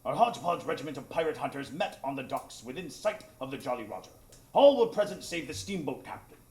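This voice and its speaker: A man playing an earnest gentleman narrator and loyal companion in a live radio drama. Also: mid-range voice, very animated, a little fast, roomy sound, natural voice. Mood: storytelling. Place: improv theatre stage, live audience.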